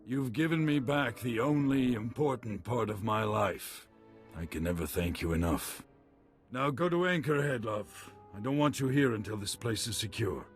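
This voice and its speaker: A man's voice: mid-range voice, moderate pace, faint music behind, natural voice. A man playing a devoted, tender father in a video game, speaking in a heartfelt manner. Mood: grateful. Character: devoted, grateful father of a daughter.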